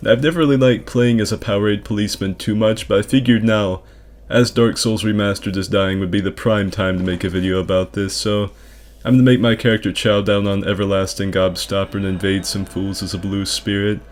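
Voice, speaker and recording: A man's voice: fairly low pitch, steady tone, a little fast, few pauses. A man hosting a gaming video with casual, profane commentary, delivered in a sarcastic, hyperbolic way.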